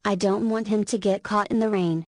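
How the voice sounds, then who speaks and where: Woman performing a motherly school principal in her forties, mid-pitched, gently bantering, visual novel character, close dry sound. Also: steady tone, normal speed, no echo, natural voice. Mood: concerned. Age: 40s. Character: caring, playfully teasing 40-year-old woman school principal.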